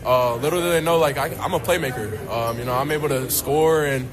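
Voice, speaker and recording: A man's voice: medium pitch, a little fast, crowd noise. A young American man giving a casual, hesitant interview answer as a college athlete. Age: young adult. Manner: hesitant, filler-heavy. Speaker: college basketball player interviewed.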